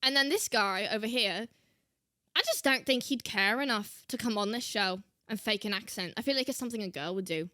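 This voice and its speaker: High-pitched woman in an exaggerated British accent. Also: fairly quick, sharp tone, no room sound, clean studio sound. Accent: exaggerated put-on British. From United States. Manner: theatrical, playful, repeats phrases for emphasis. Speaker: host of a reaction show. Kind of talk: reaction commentary.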